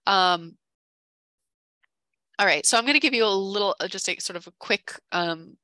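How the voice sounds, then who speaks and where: A middle-aged woman, her voice starting to give out, carefully and haltingly presenting a case at an informal online meeting. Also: medium pitch, pushed and bright, dry recording. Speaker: town conservation staffer presenting a case. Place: indoor room, on video call. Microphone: headset on video call.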